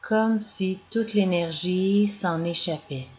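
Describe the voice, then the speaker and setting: A woman guiding a yoga nidra meditation as scripted narration, in calm, measured instructions with long pauses. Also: lowish voice. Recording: dull lo-fi recording with clear background noise.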